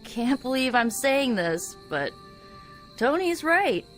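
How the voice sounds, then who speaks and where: An outspoken young heroine in her twenties in a video game. The voice is fairly high and very expressive, blunt and defensive, with faint music behind. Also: slow, exaggerated voice. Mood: wry, grudging. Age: 20s. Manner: blunt, challenging. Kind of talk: video game cutscene acting.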